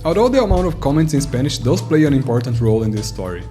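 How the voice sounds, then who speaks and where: A man's voice: mid-range voice, big pitch swings, fast, warm tone, faint music behind. A Brazilian man in his thirties whose first language is Portuguese, narrating an earnest retrospective video in English. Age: 30s. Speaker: YouTuber.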